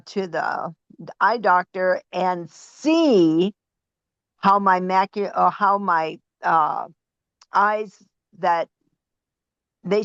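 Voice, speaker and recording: A woman calling in to a webinar, telling her story slowly and haltingly. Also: medium pitch, no room sound, lo-fi sound. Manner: slow and halting. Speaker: caller sharing a testimonial. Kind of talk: casual testimony over a call.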